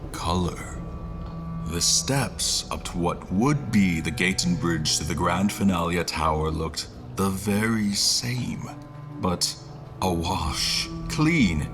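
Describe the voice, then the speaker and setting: A man narrating a fantasy audio drama as a reflective historian, in a literary, deliberate, measured way. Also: sits low. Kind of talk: scripted first-person story narration.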